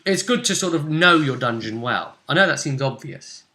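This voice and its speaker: A man's voice: fairly high pitch, expressive, normal speed, sharp tone. A British man talking casually and animatedly as game master, recapping a tabletop role-playing session and acting out little asides. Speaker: game master, host of a tabletop RPG vidcast.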